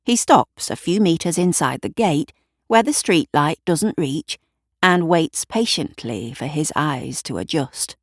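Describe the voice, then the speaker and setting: A woman's voice: low voice, expressive, a little slow, no echo, studio quality. A woman narrating a scripted story in a wry, descriptive manner. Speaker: writer and narrator.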